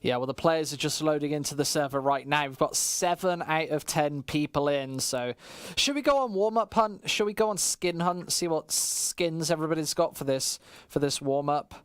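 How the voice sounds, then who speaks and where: A man's voice: a little high, expressive, moderate pace, fairly bright tone, clear, resonant tone, no room sound, studio quality. A man commentating on esports in a casual, bantering way.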